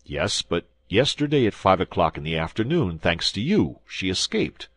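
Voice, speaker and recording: A man's voice: sits low, sing-song, normal speed, dry recording, heavily acted. A man doing a stern, blustering commissary. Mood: interrogating. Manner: loud, severe interrogating.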